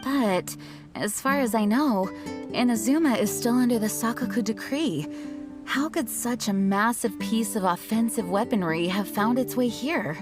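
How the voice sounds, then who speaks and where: A woman's voice: a little high, moderate pace, music in the background, natural voice. An actress playing a caring, thoughtful young woman adventurer in a video game, articulate and inquiring. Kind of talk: scripted video game voice acting.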